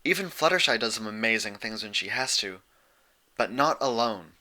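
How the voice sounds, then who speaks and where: A man's voice: lowish voice, lively intonation, normal speed, bright, forceful tone. A man voicing an earnest, exasperated young female unicorn pony in a storybook reading, insistent and pleading.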